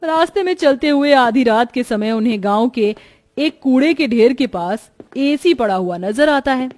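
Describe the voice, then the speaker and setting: A woman's voice: high voice, lively intonation, moderate pace, a little mellow. A woman reading scripted narration for a children's moral story.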